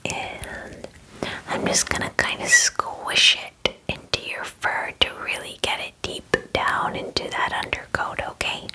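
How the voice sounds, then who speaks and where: A woman in her twenties whispering softly and soothingly in an ASMR personal-attention roleplay, murmuring reassurances close to the mic. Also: a little slow, rough recording. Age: 20s. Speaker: ASMR creator playing a spa groomer. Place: small dim room indoors.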